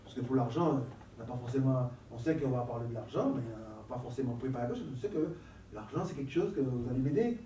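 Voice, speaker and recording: Man, has a cold, church group talk, mellow voice, animated, roomy recording from a distance. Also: mid-range voice, fast talker. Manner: animated, laughing, repeats words. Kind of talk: casual teaching talk with a group. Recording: echoey room, from a distance, faint noise.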